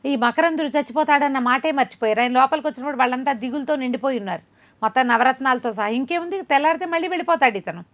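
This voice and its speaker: Expressive woman telling a folk tale. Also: high-pitched, very fast. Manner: expressive, conversational, asks rhetorical questions while narrating. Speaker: storyteller. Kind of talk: animated oral storytelling of a folk tale.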